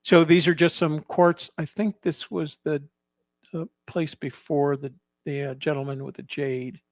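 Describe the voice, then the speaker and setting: A man giving casual, explanatory commentary as a vlog host, in a halting way, often repeating and rephrasing himself. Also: a little high, little pitch movement, no echo, studio quality. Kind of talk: casual narrated photo commentary.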